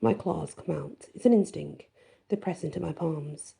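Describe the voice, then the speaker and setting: A woman's voice: sits low, moderate pace, vocal fry, warm tone, slightly rough. A woman storyteller reading scripted first-person fantasy narration in an ASMR style.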